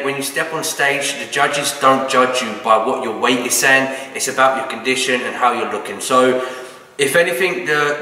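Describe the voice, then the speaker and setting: Croaky British man vlogging. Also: medium pitch, sharp tone. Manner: brisk, matter-of-fact, explains step by step. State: croaky just-woken voice. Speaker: bodybuilding vlogger. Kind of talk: casual vlog to camera. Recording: echoey room, from a distance.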